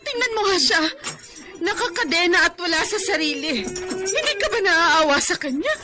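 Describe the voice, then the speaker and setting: Worried pleading mom in a filipino radio drama, very high emotional voice. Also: female voice, expressive, normal speed, over loud music. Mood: upset, reproachful. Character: pleading, devoted mother of a sick son.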